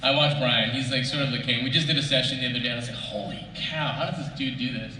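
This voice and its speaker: A man's voice: mid-range voice, fairly even intonation, fast talker, sharp tone. A man chatting casually on a panel in a loose, slangy, joking way. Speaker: voice actor panelist. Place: large convention panel room. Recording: very echoey hall, distant mic, faint noise.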